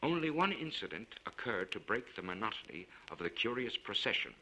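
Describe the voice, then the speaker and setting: A man reading a literary story aloud in a measured, formal and expressive way. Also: on the low side, rough recording. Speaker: audiobook narrator.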